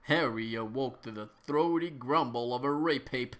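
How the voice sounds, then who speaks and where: A woman's voice: rumbling low, normal speed. A woman narrating absurd comic fiction as a dramatic reading, in a mock-serious manner. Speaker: narrator, reader.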